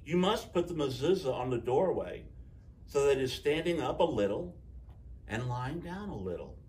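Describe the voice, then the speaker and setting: A man voicing a wise, calm village rabbi in a storybook, instructing in a measured way. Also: mid-range voice, recorded from a distance, lightly acted. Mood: wise, instructive.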